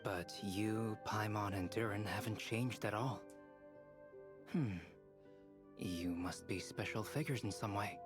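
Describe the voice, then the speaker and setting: An actor playing a calm young male alchemist in a game, speaking in a measured, analytical way. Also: fairly low pitch. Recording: game audio with clear music behind.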